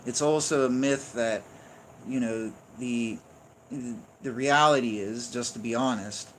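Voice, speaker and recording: A man's voice: mid-range voice, measured pace, rough recording. A man teaching in an unscripted, insistent way, explaining point by point and checking in with "right?" and "okay?". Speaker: religious teacher. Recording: noticeable background noise.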